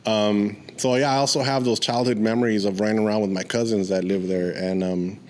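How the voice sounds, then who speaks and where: A man's voice: lowish voice, steady tone, brisk, darkish tone. A man hosts a podcast. He talks casually and informally, addressing people like friends. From born in Mexico, moved to the US at age four. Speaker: podcast host. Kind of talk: casual podcast interview chat.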